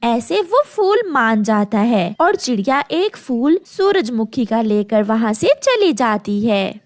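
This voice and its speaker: Slow, expressive woman storyteller. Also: a little high, no room sound, studio quality. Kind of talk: scripted children's story narration.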